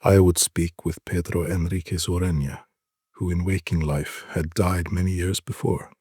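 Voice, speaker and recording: Male narrator, low and very husky, solemn and deliberate literary storytelling, dry close sound. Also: fairly even intonation, warm tone, no room sound. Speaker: narrator reading short stories. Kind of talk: dramatic literary reading.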